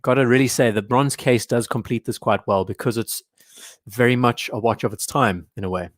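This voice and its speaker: A young man hosting a live watch show from home in casual commentary, his voice hoarse and strained, often clearing his throat. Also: medium pitch, fairly even intonation, a little fast, dry recording, studio quality.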